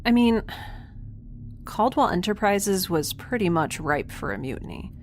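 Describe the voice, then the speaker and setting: A woman's voice: mid-range voice, animated, a little slow, a little hiss or hum. A woman playing an anxious, wry young space castaway recording an audio drama log, confiding and hesitant as she corrects herself. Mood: wry, flustered. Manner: hesitant, self-correcting, rambling, dryly wry. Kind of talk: audio drama monologue, confiding diary narration.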